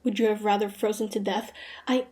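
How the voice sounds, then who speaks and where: Mellow, guarded young huntress, played by an actress. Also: female voice, mid-range voice, steady tone, fairly quick, close to an everyday voice. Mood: wary, puzzled. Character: proud, suspicious young female hunter, grudging and mildly tsundere. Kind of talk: fantasy roleplay audio drama acting.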